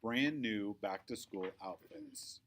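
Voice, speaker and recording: Man voicing a haughty, bossy little girl, animated delivery. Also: on the low side, slow pace, full character voice. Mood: condescending. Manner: haughty, emphatic, condescending. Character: bossy, snobbish young girl with grand ambitions. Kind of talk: children's storybook read-aloud.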